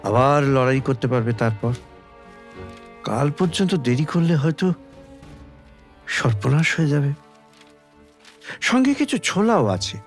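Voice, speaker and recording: A man reading literary fiction as dramatic audiobook narration, measured, with deliberate pauses between phrases. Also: mid-range voice, animated, faint music behind. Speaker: story reader.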